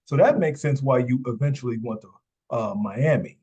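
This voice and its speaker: A man with a slightly husky voice hosts an interview podcast. He opens with an upbeat, rehearsed welcome, then shifts into loose, friendly questions, often repeating words as he goes. His pitch sits mid-range for a man. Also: moderate pace, mellow, highs cut off. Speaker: podcast host.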